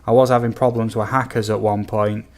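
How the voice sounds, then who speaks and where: Low, slightly croaky male game streamer. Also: flat delivery, brisk, darkish tone. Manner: easygoing, chatty, addresses viewers as buddy and guys. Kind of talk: casual livestream chat to viewers.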